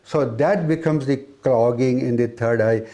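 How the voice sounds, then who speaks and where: A man giving an explanatory spiritual talk as an interviewed teacher, with halting, repetitive phrasing. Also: medium pitch, dark tone.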